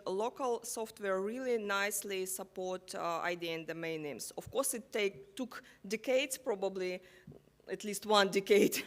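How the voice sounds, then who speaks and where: Woman panelist, mid pitch, halting delivery with many pauses and restarts. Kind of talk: panel discussion.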